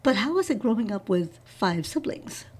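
New woman host, mellow husky voice, rambling curious questions. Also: mid-range voice, big pitch swings, normal speed. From New York. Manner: warm interjections, rambling questions, laughs. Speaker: podcast host. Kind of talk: interview hosting, intro read then chat.

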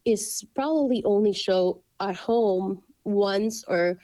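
A woman's voice: medium pitch, slow, mellow, no room sound. Woman, dry close sound. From Philippines. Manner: hesitant, many ums and restarts. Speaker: guest, coffee shop owner. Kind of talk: casual interview.

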